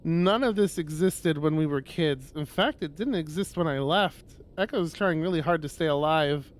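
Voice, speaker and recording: A man reading a visual novel aloud on a livestream as dramatic, expressive narration with drawn-out phrases. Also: fairly high pitch.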